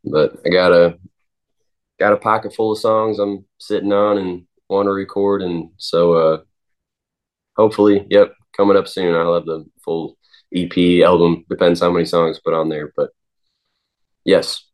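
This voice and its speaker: A man of twenty, a singer-songwriter, answering interview questions in a halting way with many pauses and restarts. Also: low-pitched, flat delivery. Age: 20s.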